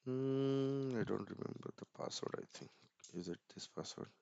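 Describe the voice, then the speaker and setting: A man's voice: medium pitch, normal speed, gravelly, dark tone, clean tone, dry recording, highs cut off. A man giving an informal screen-share tech walkthrough, thinking aloud hesitantly and often repeating words. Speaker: tutorial presenter.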